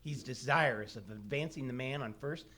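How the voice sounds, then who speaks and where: A man's voice: mid-range voice, moderate pace, sharp tone. A man playing an eager, folksy know-it-all son in a family, in 1940s-style radio comedy. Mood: earnest, explaining. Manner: folksy, explaining earnestly. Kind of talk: 1940s radio comedy acting, live on stage. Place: live theatre stage.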